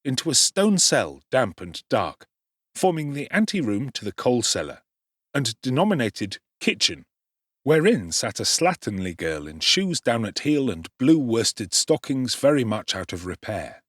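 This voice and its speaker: A man narrates a classic novel with strong theatrical expression and a husky tone. His pitch swings very widely, and the recording is dry and close. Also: big pitch swings, a little slow, no room sound. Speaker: audiobook narrator. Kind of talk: dramatic audiobook narration.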